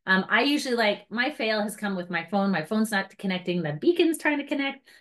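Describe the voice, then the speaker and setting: A woman's voice: medium pitch, lively intonation, fairly quick, a bit bright. A woman with a mid-range voice gives an informal training walkthrough. She explains each step in a casual, chatty manner, like a trainer talking to her guides, and drops in light self-mocking asides. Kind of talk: informal hands-on device tutorial.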